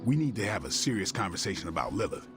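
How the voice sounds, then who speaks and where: A man's voice: lowish voice, very animated, fast, over music. A man playing a blunt, serious superhero in a video game. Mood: grim. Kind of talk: video game cutscene acting.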